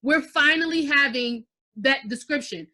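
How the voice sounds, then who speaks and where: This is a young woman from the United States hosting a relaxed interview over a webcam at home. Her voice sits high and sounds bright. She talks in an animated way and switches into Haitian Creole partway through. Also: a little slow. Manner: animated, gestures while talking, mixes in Haitian Creole. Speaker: host. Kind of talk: casual video-call interview. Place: living room, indoors. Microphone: video call webcam.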